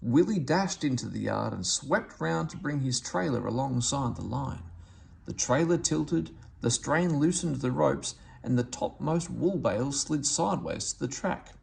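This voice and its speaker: A man's voice: medium pitch, animated, unhurried, gravelly, a little hiss or hum. A man reading a children's story aloud as a scripted narration.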